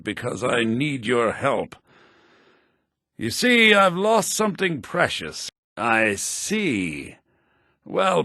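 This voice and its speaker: An actor playing a humble, solemn priest of the dead in a fantasy video game, speaking in an earnest, formal, measured way. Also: male voice, sharp tone, no room sound, clean studio sound, full character voice. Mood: pleading. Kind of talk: video game character acting. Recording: lo-fi low-bitrate game audio.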